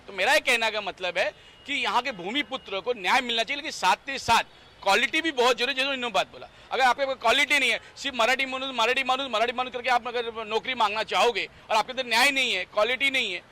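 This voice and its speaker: A man's voice: very high-pitched, bright, forceful tone. A man giving his firm opinion in a street interview, outdoors on a city street. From India. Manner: firm, argumentative.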